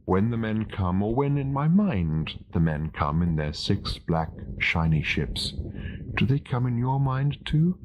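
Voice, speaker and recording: A gentle, solitary British man, acted by a male reader muses in a storybook voice. He is slow, hesitant and full of wondering doubt, swinging from lilting rises to near whispers on a noisy old tape. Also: fairly low pitch, sing-song, highs cut off. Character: gentle, vague, doubting solitary man musing to his cat. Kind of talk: storybook character voice.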